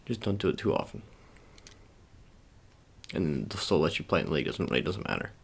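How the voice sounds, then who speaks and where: A man doing soft-spoken ASMR roleplay as a shady sports agent, talking in a halting, casual, coaxing way and repeating his phrases. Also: low-pitched, sing-song, creaky, slightly rough.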